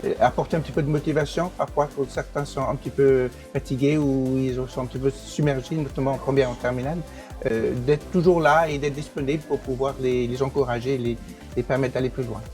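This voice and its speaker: A man who is an English teacher and program director, answering interview questions with many pauses, fillers and restarts. English is his first language. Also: medium pitch, steady tone, a little mellow, faint music behind.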